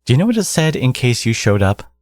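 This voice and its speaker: A man playing a theatrical, possessive supervillain in a scripted audio roleplay, scornful and grandiose toward his archnemesis, with a husky voice. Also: medium pitch, sing-song, fast talker, a little mellow, no echo, close to an everyday voice. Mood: exasperated, scornful. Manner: grandiose, exasperated, dramatic emphatic delivery.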